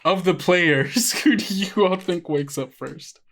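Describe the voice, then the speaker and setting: A man running a tabletop game as game master, narrating in a halting way full of fillers. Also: sits high, fairly bright tone.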